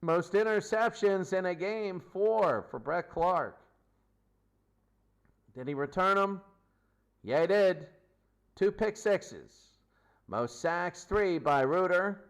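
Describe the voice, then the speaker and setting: A man's voice: sits high, takes it slowly, pauses often, smooth. A man giving an unscripted sports stats recap as host, listing figures in short, clipped phrases. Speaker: sports show host and commentator.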